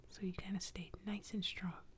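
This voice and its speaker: A woman's voice: medium pitch, level tone, moderate pace, close to an everyday voice. A woman voicing a kind, friendly male jalapeno in a storybook, speaking in a reassuring whisper. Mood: comforting.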